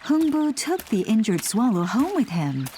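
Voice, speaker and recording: A woman's voice: on the high side, very animated, normal speed, a little hiss or hum. A woman narrating a scripted children's bedtime story, with music playing behind her. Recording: loud music under voice.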